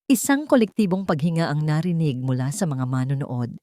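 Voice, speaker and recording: A woman narrating a scripted romance drama with dramatic pauses. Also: low-pitched, sing-song, dark tone, no echo, studio quality.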